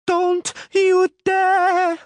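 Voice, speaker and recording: Brief: shrill sea maiden, scornful and fluting, as a male narrator puts her on, dry close sound. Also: animated, slow, a little mellow, dry recording, full character voice. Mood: shrieking. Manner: trilling, high and scornful. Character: haughty shrill young female mermaid.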